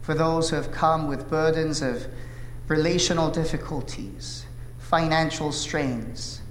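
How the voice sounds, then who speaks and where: Man preaching, from south korea, korean native speaker, earnest and measured, long church reverb, faint hum. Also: fairly high pitch. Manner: earnest, measured, frequent "uh" fillers. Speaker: pastor. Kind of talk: sermon with opening prayer. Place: church sanctuary. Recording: echoey hall reverb, faint room noise.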